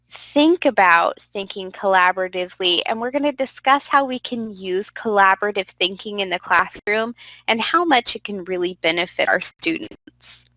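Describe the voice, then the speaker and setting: A young woman leads an online class in a bright, clear voice, encouraging and direct with her students. She sounds a bit stuffy from a cold. The recording is close and dry, with no room echo. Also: medium pitch. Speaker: instructor. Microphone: computer microphone.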